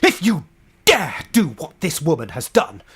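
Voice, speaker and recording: A man playing a proud, zealous French bishop in a radio drama, speaking in a forceful, rhetorical, indignant manner. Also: on the high side, wildly swooping pitch, a little slow, slightly rough, heavily acted. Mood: outraged. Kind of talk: stage-style radio drama acting.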